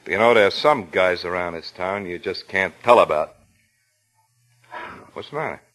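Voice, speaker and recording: An actor playing a wary, tough-talking American bartender with a wry, clipped, needling manner in an old radio thriller. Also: male voice, deep voice, expressive, unhurried, a bit bright, soft background music, low-quality recording. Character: suspicious, tough-talking New York bartender, wary of deadbeats. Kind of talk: mid-century American radio thriller acting.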